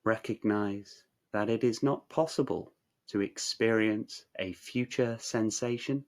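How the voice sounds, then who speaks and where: A man narrating a guided meditation in a measured, soothing, instructional way, leaving long pauses. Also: on the low side.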